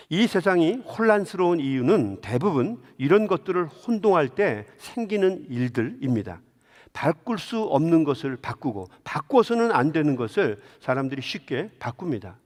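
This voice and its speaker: A male pastor delivers a sermon in a highly animated, fairly high voice. He tells stories and turns to his listeners with direct questions. His words ring out with the long reverb of a large church hall. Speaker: pastor preaching to a congregation. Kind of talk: Sunday sermon with storytelling and rhetorical questions. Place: large indoor church sanctuary.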